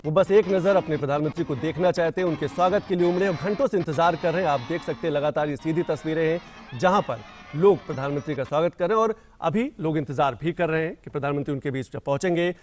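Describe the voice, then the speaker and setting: A man's voice: a little high, fast. A man narrating a live news broadcast of a roadshow, talking urgently and repeating himself with fillers. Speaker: TV news reporter. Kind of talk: live news commentary over roadshow footage. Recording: loud crowd cheering and music behind. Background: crowd chanting and music.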